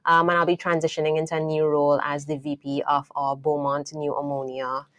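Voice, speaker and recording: Woman, Trinidadian accent, thirties, low voice, reflective interview guest. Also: little pitch movement, a little fast. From Trinidad and Tobago. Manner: thoughtful, warm storytelling with frequent fillers. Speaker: guest engineer. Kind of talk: podcast interview.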